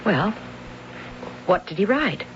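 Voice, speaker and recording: A nervous, hesitant radio-drama wife. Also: female voice, medium pitch, very animated, takes it slowly. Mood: worried, gentle. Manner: hesitant, stammering, worried. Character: anxious, soft-hearted wife minding a troubled boy. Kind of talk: old-time radio drama acting. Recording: dull, noisy old radio recording.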